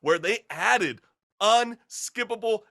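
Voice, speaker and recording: A man's voice: sits high, takes it slowly, vocal fry, bright, forceful tone. A man hosting a talk show in an opinionated, conversational way, asking emphatic rhetorical questions with casual slang and mild swearing.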